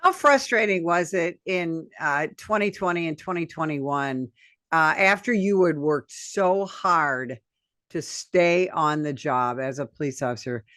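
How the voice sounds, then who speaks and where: A woman hosting a podcast, asking measured, deliberate interview questions. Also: sits low, fairly bright tone, dry recording, studio quality. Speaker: podcast host.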